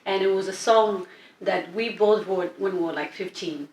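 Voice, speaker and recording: A woman's voice: medium pitch, normal speed. Chatty young woman, echoey room. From East Africa. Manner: chatty, many restarts, lively storytelling. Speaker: guest singer. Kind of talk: casual interview. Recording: echoey room, distant mic, faint noise.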